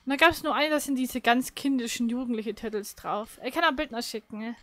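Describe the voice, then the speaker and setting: A woman's voice: fairly high pitch, a little fast, bright, forceful tone, no echo. A woman chatting casually and teasingly as co-host of a gaming session. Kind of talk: casual chat. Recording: clear background noise.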